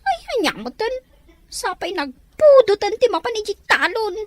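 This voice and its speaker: A woman's voice: extremely high pitch, big pitch swings, normal speed. A woman playing a work-shy young student sister in a family radio drama, complaining and pleading. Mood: whiny complaining.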